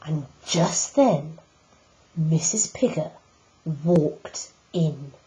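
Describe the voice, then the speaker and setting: Woman teacher reading a storybook aloud, lowish voice, takes it real slow. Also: warm tone, low-quality recording. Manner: slow, deliberate reading with emphatic pauses. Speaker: teacher reading a picture book.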